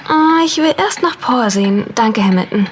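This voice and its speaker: A woman's voice: a little high, animated, moderate pace, noisy background, lightly acted. An actress playing a caring, loyal young woman in an audio drama, with a reassuring manner. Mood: concerned. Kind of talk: German audio drama acting.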